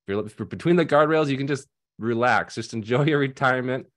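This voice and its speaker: A man's voice: mid-range voice, fast, fairly bright tone. A man in his thirties, a financial advisor on a video call panel, talking casually with many fillers and restarts. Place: home room at night. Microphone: headset on video call.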